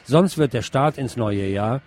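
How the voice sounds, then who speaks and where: A man's voice: medium pitch, measured pace, darkish tone. A man reads scripted news commentary aloud as a presenter. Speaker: podcast presenter reading press articles.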